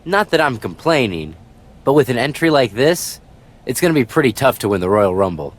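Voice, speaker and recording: Animated, mild-mannered underdog wrestler. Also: male voice, medium pitch, brisk. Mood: doubtful, friendly. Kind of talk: video game cutscene acting.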